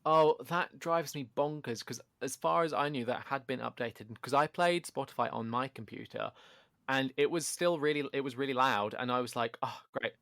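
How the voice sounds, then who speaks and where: A man with a gravelly, fry-edged voice co-hosting a casual podcast. He talks loudly and pokes fun at himself. The sound is close and dry. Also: medium pitch, normal speed, no room sound. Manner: loud, self-deprecating, chatty. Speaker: podcast co-host. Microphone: home podcast microphone.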